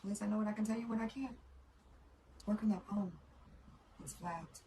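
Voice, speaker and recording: A woman's voice: medium pitch, moderate pace, heavy background noise, close to an everyday voice. An actress playing a troubled, guarded married woman in a naturalistic drama, with blunt, streetwise, profane speech. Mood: angry, hurt. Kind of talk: naturalistic screen drama acting. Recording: loud music behind the voice. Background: music.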